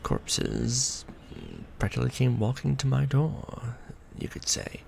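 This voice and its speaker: A man playing a centuries-old vampire lord in a romantic ASMR roleplay, proud and doting, speaking formally with theatrical, measured phrasing. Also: medium pitch, creaky, a little mellow, a little hiss or hum. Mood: storytelling, grave.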